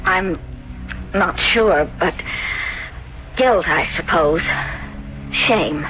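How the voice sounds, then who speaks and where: A woman's voice: lowish voice, very slow, rough recording. An actress playing a meek, self-deprecating young woman, earnest and melodramatic, in a 1970s radio drama. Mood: ashamed. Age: young adult. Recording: vintage radio broadcast, music behind.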